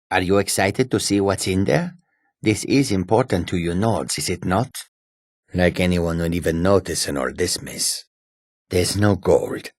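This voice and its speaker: An actor playing a shrewd, proud cat-folk warrior and trader in a fantasy video game, with a husky, rasping, theatrical delivery. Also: male voice, low voice, no echo, clean studio sound, heavily acted. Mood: wry, musing.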